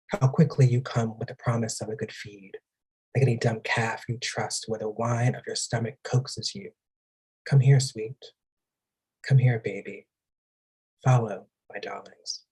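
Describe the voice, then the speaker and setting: Male poetry reader, soft dark tone, raspy edge, dramatic phrasing. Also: medium pitch, rough recording.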